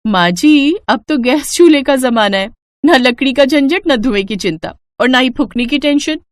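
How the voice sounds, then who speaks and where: A woman voicing a haughty, scornful young rich daughter-in-law in an animated storybook tale, scolding and condescending. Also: sits high, normal speed, dry recording, studio quality, voice pushed up.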